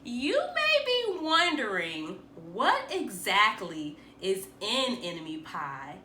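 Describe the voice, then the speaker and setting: A woman reading a children's picture book aloud as a teacher, animated and with dramatic emphasis for young listeners. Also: medium pitch, slow pace. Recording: roomy echo, faint background noise.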